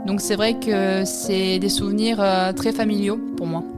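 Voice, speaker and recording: A woman in her thirties from France, telling her own story in an interview, relaxed and reflective with many hesitant pauses. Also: medium pitch, loud music behind the voice. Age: 30s. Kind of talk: personal testimony interview.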